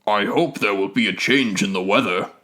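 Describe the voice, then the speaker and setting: A man voicing a gruff, grumpy, selfish giant in a storybook reading. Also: lowish voice, moderate pace, a bit bright, voice pushed down, close to an everyday voice. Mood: grumbling.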